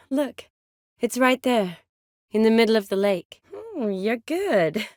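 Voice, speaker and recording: A woman's voice: a little high, lively intonation, takes it slowly, a little mellow, dry recording, studio quality. A woman playing a spirited, caring young medic who is frank and teasing, in naturalistic video game dialogue. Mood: playful, teasing.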